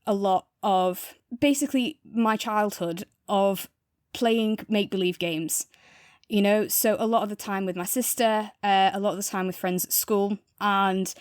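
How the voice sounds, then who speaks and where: A woman's voice: medium pitch, smooth, no room sound, studio quality. A woman co-hosting a podcast, chatting casually and enthusiastically as she reviews. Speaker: podcast co-host reviewing audio dramas.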